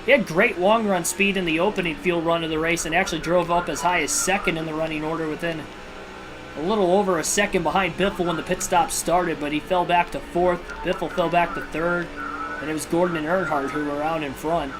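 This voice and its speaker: A man hosting a livestream and giving realistic play-by-play commentary on a racing video game, in a steady broadcaster style with casual personal asides. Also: fairly high pitch, brisk, rough recording. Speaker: livestream host and race commentator. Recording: video game music clearly behind voice.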